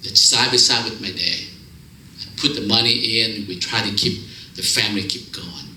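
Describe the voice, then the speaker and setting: Bright-voiced man with a Vietnamese accent. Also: lowish voice, normal speed, reverberant, a little hiss or hum, rough recording. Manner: broken English, joking, lively storytelling. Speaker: guest speaker. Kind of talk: personal testimony speech. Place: church fellowship hall.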